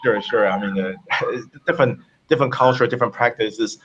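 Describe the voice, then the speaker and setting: Male tech-founder guest from Taiwan, mid pitch, halting delivery, slightly dull call audio. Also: fairly bright tone, breathy edge. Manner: halting, many fillers and restarts. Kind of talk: casual interview answers.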